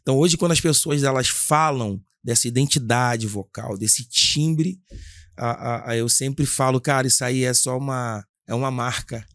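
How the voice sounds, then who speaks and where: A man with a Brazilian Portuguese accent, a gospel singer and worship leader telling his story in a casual podcast interview, with a husky timbre and earnest, halting delivery full of restarts. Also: medium pitch, fairly bright tone, no echo, clean studio sound. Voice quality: husky, gravelly singing timbre.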